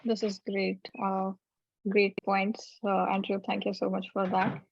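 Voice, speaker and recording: A woman hosting an informal interview, asking questions in a halting way and rephrasing as she goes. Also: medium pitch, monotone, vocal fry, highs cut off, a little hiss or hum. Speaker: host interviewing guests.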